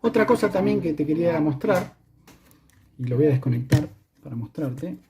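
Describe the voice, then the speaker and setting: A man's voice: on the high side, animated, normal speed, heavy background noise. A man with an Argentine Spanish accent presenting a hands-on electronics tutorial, explaining step by step and often checking in with the listener.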